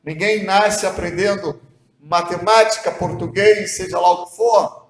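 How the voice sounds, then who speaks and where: A man's voice: high voice, fairly even intonation, a little slow, echoey room. A man preaching a devotional sermon as pastor, earnest and asking the listener rhetorical questions. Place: church hall.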